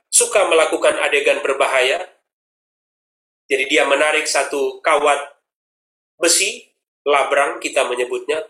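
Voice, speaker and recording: A man's voice: a little high, sharp tone. A male preacher, preaching emphatically with stories and rhetorical questions. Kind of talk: sermon. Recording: roomy, echoey hall.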